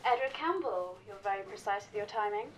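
A woman's voice: mid-range voice, lively intonation, fairly quick, slight background noise, lightly acted. A woman playing an easygoing, politely evasive busy woman in a naturalistic drama, with a light, conversational delivery. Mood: playful, teasing. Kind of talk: naturalistic drama phone dialogue.

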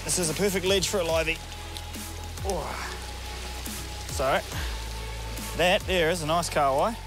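A man's voice: a little high, a little slow. A man with a New Zealand accent co-hosting a fishing show, chatting casually and cheering outdoors by the sea. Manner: animated, whoops and cheers at catches. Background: music.

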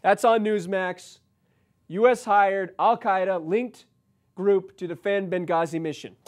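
An American man in his forties giving political commentary, reading news aloud with emphatic, halting asides and repeating himself. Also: high-pitched, fairly even intonation. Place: indoor studio set, seated at a desk.

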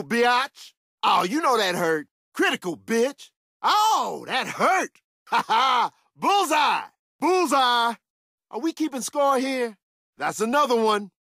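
A cocky, battle-ready soldier from a video game. He has a lively, widely swinging pitch and throws out short, punchy lines with swagger. The sound is dry and close. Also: no echo, full character voice. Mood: exultant. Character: tough, confident male soldier, upbeat battlefield fighter. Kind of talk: video game combat barks.

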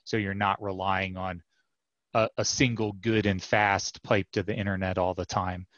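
Low-voiced man on a headset call. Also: flat delivery, moderate pace, fairly bright tone, dry recording. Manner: thoughtful, many fillers and restarts. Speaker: software product manager, guest. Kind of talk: casual interview answers. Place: home office with bookshelves. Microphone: headset mic on video call. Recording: dull dry headset audio.

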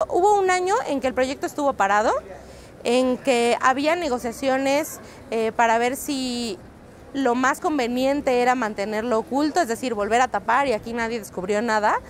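A woman's voice: on the high side, normal speed. A woman in her thirties with a Mexican accent, a reporter explaining at length in a street interview, fluent but with frequent "eh" fillers. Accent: Mexican Spanish. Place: outdoors on a busy city street. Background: faint street ambience.

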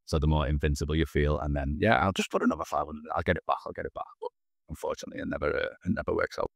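A man's voice: extremely low pitch, steady tone, very fast, vocal fry, no echo, studio quality. A man in his thirties, a podcast guest telling his own story earnestly over a video call, often restarting his words. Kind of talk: candid personal storytelling. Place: small indoor room. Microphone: video call, wearing headphones.

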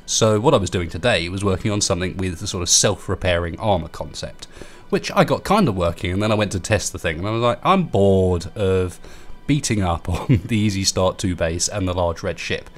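A young man with a very low, deep voice talks through a gameplay tour at a desktop mic. He sounds stuffy and sniffly from a cold. His delivery is loose and rambling, with a self-deprecating ease. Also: animated, fairly quick. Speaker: gaming YouTuber. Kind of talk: casual game build tour.

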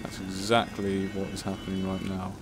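A man's voice: deep voice, monotone, moderate pace. A man casually commentating his gameplay, chatty and self-mocking, swearing at himself when stuck. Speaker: let's play commentator. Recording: game music playing behind.

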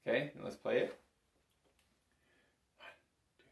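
A man giving a casual, step-by-step music lesson as an instructor, counting beats and checking in as he goes. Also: unhurried. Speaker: bagpipe teacher. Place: small indoor room full of instruments. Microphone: camera a short distance in front. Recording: roomy echo from camera mic.

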